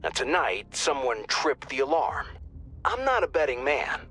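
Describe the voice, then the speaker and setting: A wry, bright-voiced mission handler. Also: male voice, medium pitch, sing-song, measured pace, exaggerated voice. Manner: wry, conversational.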